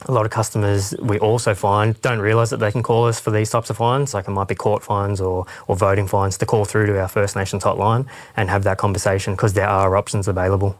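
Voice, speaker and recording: Coordinator, male, Western Sydney: flat delivery, measured and plain. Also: fairly low pitch, dry recording. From Western Sydney, Australia. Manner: steady, measured, with some fillers. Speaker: senior coordinator. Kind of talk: radio interview answers.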